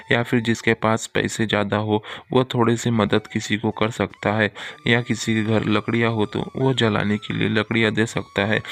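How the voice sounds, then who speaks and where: Man as an even-toned, earnest good villager. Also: fairly low pitch, lightly acted. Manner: respectful, earnest. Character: generous, earnest, good-hearted male villager. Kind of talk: Hindi cartoon moral-story acting.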